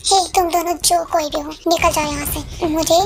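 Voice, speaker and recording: A bossy, irritated gang boss man played by a woman gives curt commands in a high voice with an Indian accent. Music plays clearly behind the amateur drama. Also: little pitch movement, moderate pace.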